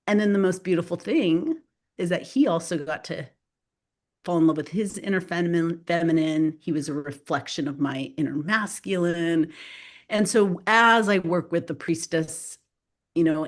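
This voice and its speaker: A woman's voice: fairly low pitch, unhurried. A woman whose first language is Spanish, a guest author talking loosely in an interview with many fillers and restarts. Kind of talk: casual spoken interview.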